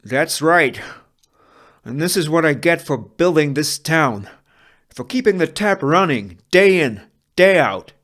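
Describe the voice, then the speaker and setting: A man's voice: on the high side, animated, measured pace, fairly bright tone, voice pushed up. A man voicing a bitter, defiant saloon matron with slurred words in a Western script reading. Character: defiant battered saloon matron, rousing her townsfolk.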